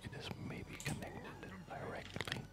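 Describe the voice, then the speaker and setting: A person whispering ASMR gameplay commentary, hesitantly thinking aloud as the host of a gaming video. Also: measured pace.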